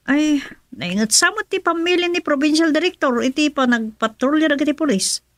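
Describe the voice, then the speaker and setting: A woman's voice: sits high, animated, normal speed, dry recording, studio quality. An actress playing a polite, chatty household employee talking deferentially to her madam in an Ilocano radio drama. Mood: polite, earnest.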